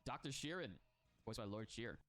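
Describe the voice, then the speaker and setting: A man hosting a casual reaction stream, congested from a cold, excitable and slangy, laughing often. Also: medium pitch, moderate pace, no room sound. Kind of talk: casual reaction chat, reading text aloud.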